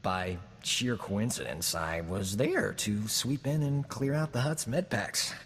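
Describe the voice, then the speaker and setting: A glib, self-satisfied rogue doctor in a sci-fi video game, his voice sitting a little low. He brags with easy charm. A long reverb tail and faint ambience trail behind him. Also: slow pace, a little hiss or hum. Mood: boastful. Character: smooth-talking roguish male doctor, boastful charmer. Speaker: companion character.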